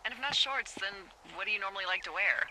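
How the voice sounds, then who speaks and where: An actress playing a wry, teasing American fire lookout supervisor in a naturalistic video game, talking conversationally over a walkie-talkie. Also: female voice, medium pitch, lively intonation, fast talker, pushed and bright, rough recording. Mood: playful, teasing. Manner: playfully sardonic, conversational. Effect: walkie-talkie radio. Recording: radio sound with clear background noise.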